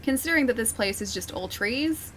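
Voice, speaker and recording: A woman's voice: fairly high pitch, lively intonation, fairly quick, slight background noise. A young woman from Australia streaming a video game, chatting casually and giggling as she talks to her viewers. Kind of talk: casual live-stream commentary.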